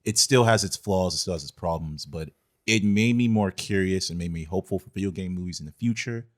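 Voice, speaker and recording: A man's voice: sits low, a little fast. A man co-hosting a podcast, talking casually and conversationally about films. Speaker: podcast co-host. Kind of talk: casual film discussion chat.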